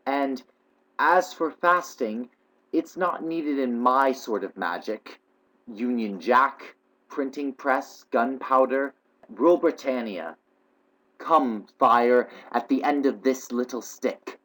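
A man's voice: medium pitch, slow, fairly bright tone, voice pushed up, low-quality recording. A man voicing a bold, cheeky young English schoolboy in an audiobook, with a brash, boastful manner. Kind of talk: audiobook character voice.

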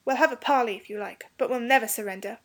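A woman's voice: fairly high pitch, fast, a bit bright, pitched above the performer's own voice. A woman voicing a bold, stubborn young boy with a brash, commanding manner in a children's storybook. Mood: defiant.

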